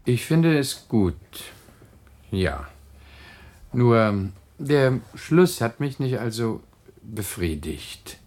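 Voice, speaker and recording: Fussy drama editor with swooping intonation. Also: male voice, medium pitch, big pitch swings, darkish tone. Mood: musing, dissatisfied. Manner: musing, halting. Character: fussy, deliberating male radio drama editor. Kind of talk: radio play dialogue.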